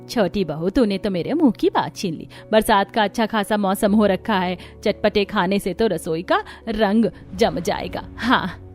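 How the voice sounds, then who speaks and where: A woman's voice: medium pitch, measured pace, darkish tone, faint music behind, lightly acted. A woman voicing a middle-aged, food-loving mother-in-law in a family cartoon, chatty and full of instructions. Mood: eager ordering.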